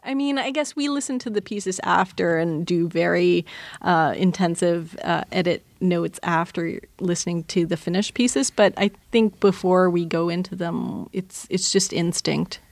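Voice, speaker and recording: Lowish-voiced woman, halting and thoughtful. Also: vocal fry, dry recording. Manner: thoughtful, halting, searching for words. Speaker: interview guest, audio fiction producer. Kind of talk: reflective interview conversation.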